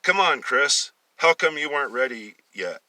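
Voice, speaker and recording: Man acting an exasperated teenage boy, pitched up. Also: sing-song, normal speed, pushed and bright, dry recording, recorded from a distance. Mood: scolding, impatient. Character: anguished sixteen-year-old boy, protective, defiant, exasperated with his brother.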